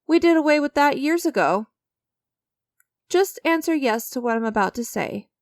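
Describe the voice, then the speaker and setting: A woman's voice: high-pitched, lively intonation, normal speed, no echo, clean studio sound. A woman playing an enigmatic, creepy stranger who strikes sinister deals in a dramatic audio roleplay, teasing and theatrical with a smug, coaxing manner. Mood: sly, coaxing.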